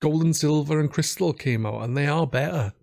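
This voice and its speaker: A man's voice: fairly high pitch, brisk, gravelly, a little mellow. A man hosting a podcast, chatting informally and modestly about video games. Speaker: podcast host.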